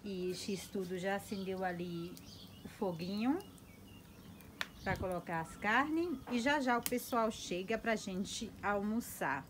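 A Brazilian woman vlogging from her home kitchen on a handheld phone, chatty and lively and laughing often. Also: medium pitch, takes it slowly, background noise. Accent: Brazilian Portuguese. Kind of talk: casual chatty narration to viewers. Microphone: handheld phone camera.

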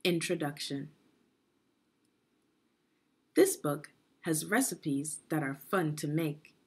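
A middle-aged woman with a slightly husky voice, giving a deliberate, instructive read-aloud lesson for young children. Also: deep voice, a little mellow. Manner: deliberate, instructive, with pauses and questions. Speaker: education consultant reading aloud to children.

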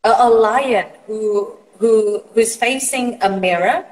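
A woman's voice: on the high side, slow, long reverb tail, rough recording. A woman from Indonesia in her thirties, a guest psychologist who explains earnestly on a live video call, with many fillers and restarts. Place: bright room indoors. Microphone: phone at arm's length.

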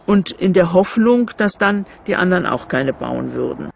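A man with a high, creaky voice speaks as an interviewee. He recollects spontaneously, searching for his phrasing with some hesitation. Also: lively intonation, normal speed, slight background noise.

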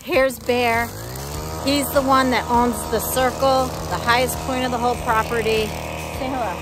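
High-voiced woman vlogging outdoors. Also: little pitch movement, moderate pace, loud music behind the voice. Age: middle-aged. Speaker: vlog host. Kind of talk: casual chat to camera. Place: outdoors in a pine clearing. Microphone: handheld phone at arm's length.

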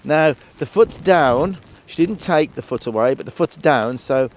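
A man's voice: fairly high pitch, lively intonation, a little slow, slight background noise. A man working with a horse outdoors, explaining each step as he goes. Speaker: horse trainer. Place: outdoors in a paddock.

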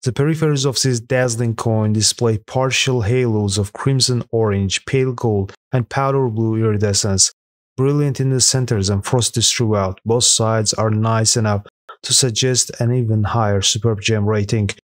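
A man reading a scripted voice-over that counts down rare coins sold at auction, in a steady, even manner with an occasional slip. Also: fairly low pitch, moderate pace, no room sound. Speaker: narrator of a coin auction countdown. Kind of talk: scripted voice-over narration read aloud.

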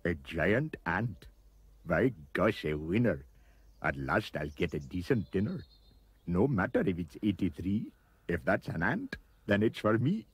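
Guy doing a hungry giant anteater for a kids' story, soft husky mumble, animated. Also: sits low, a little slow, dry recording, full character voice. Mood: greedy. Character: starving giant anteater, plaintive, later slyly menacing.

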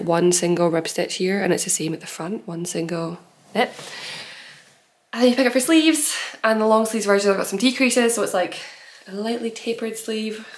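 Young woman, nasal with a cold, chatty giggly craft-podcast host talking to camera at home. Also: mid-range voice. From based in Edinburgh. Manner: chatty, giggly, rambling with fillers. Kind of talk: casual chat to camera. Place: small room at home, bookshelves.

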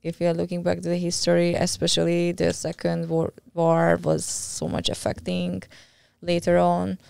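A woman's voice: fairly low pitch, monotone, moderate pace, gravelly, darkish tone, clean tone, no echo, clean studio sound. A woman in her thirties from Hungary with a Hungarian accent, a friendly podcast guest who sometimes searches for words. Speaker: guest, judo athlete. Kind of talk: casual interview. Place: small podcast studio. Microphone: boom-arm studio mic with headphones.

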